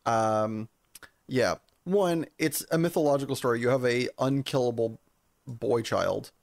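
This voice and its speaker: A man's voice: medium pitch, unhurried, creaky, no echo, clean studio sound. A man co-hosting a podcast in casual banter, informal and wry with the odd swear word. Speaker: podcast co-host.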